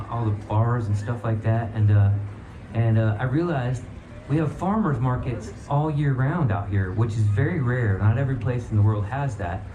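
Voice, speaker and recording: A man's voice: mid-range voice, reverberant, poor audio. A middle-aged American man from Virginia, a banjo musician giving casual, rambling, folksy and upbeat banter between songs at a live festival. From Virginia, United States.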